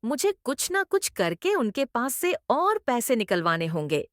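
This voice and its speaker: A woman's voice: fairly high pitch, sing-song, unhurried, fairly bright tone, dry recording, studio quality. A woman voicing a greedy, domineering middle-aged mother-in-law in a cartoon moral story, with an emphatic, theatrical delivery. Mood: scheming.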